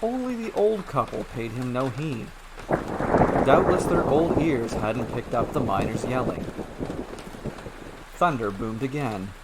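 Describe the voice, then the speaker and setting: A man's voice: mid-range voice, expressive, takes it slowly, long pauses, very noisy. Man narrating a fairy tale. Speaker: storyteller. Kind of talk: scripted story narration.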